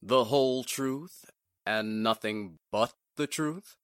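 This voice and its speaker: A man playing a bitter, well-bred gentleman, haughty yet hesitant, in 1940s radio drama style. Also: mid-range voice, slow pace, no room sound, clean studio sound. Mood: guarded.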